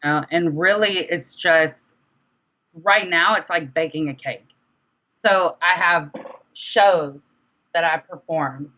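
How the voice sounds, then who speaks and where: A young woman with a low, forceful voice gives a casual interview. She speaks candidly, often repeating a phrase to drive it home. The recording is dull and lo-fi. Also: slow pace. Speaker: guest, independent music artist. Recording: dull, lo-fi sound.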